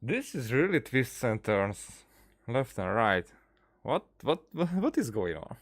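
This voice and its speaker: A man's voice: mid-range voice, big pitch swings, takes it slowly, no echo, clean studio sound. A man giving casual game commentary as a streamer.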